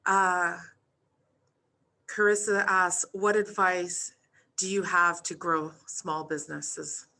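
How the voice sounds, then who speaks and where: A woman's voice: lowish voice, level tone, takes it slowly, bright, forceful tone. A woman moderating an online Q&A, effusive and hesitant, with many fillers. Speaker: event moderator.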